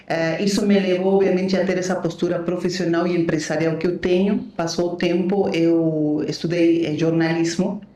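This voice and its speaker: A woman's voice: on the low side, distant mic. A woman from Bolivia speaks Portuguese with a Spanish accent and tells her story with many small fillers. She sits well back from the mic, and the room around her echoes strongly. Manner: warm storytelling, frequent "né" and "eh" fillers. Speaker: guest, leadership mentor. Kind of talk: podcast interview.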